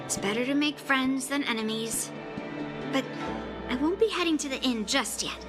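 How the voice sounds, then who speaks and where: Young female sword prodigy, game role: high, confident, blunt and cocky, over clear music. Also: expressive, normal speed. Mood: breezy, confident. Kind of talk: video game voice acting.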